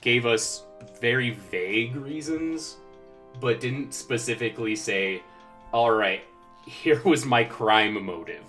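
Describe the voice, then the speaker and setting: A man playing a tabletop game, chatting casually in a halting, rambling way as he recaps events. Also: medium pitch, fairly bright tone, soft background music.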